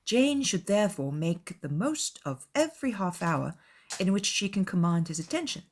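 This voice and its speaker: A woman voicing a pragmatic, unsentimental young gentlewoman giving matter-of-fact advice in a dramatized audiobook. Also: fairly low pitch, animated, moderate pace. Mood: earnest advising.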